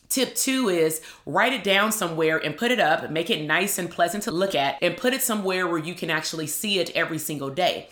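A woman psychologist hosting a podcast, giving a chatty, upbeat motivational talk straight to her listeners in a loud voice. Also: on the low side. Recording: echoey room.

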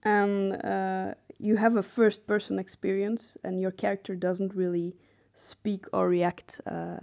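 A woman's voice: medium pitch, flat delivery, a little slow, creaky, a little mellow. A woman co-hosting a podcast, explaining things casually and somewhat hesitantly. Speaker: podcast co-host.

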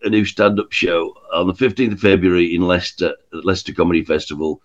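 A man's voice: low-pitched, fairly even intonation, quick pace. A man, a stand-up comedian, chatting casually and rambling as a podcast guest. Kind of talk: casual chat. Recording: dull lo-fi remote line.

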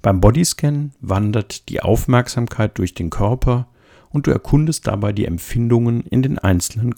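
A man calmly giving an introduction to a body scan meditation, speaking slowly and gently. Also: medium pitch, creaky. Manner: slow, gentle, soothing. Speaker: meditation guide.

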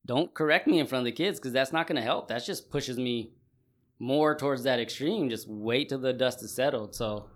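Male interviewer, average pitch, informal and expressive, casual conversational hosting. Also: normal speed. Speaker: host.

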